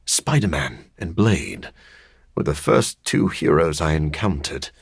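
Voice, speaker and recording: A man playing a brooding, scholarly living vampire in a video game, husky and formal, articulate and dryly sardonic. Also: extremely low pitch, lively intonation, unhurried, dry recording, studio quality, heavily acted.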